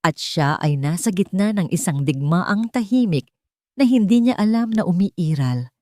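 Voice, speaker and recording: A woman's voice: lowish voice, lively intonation, moderate pace, mellow, no room sound, clean studio sound. A woman reading a scripted dramatic story as its narrator. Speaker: narrator of romantic drama stories.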